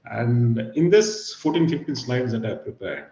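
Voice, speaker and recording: A middle-aged man with a husky, low voice giving an online guest lecture, pausing often with fillers and "okay". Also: animated, dark tone, reverberant, muffled recording. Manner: hesitant, many fillers and "okay". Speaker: guest speaker. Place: video call.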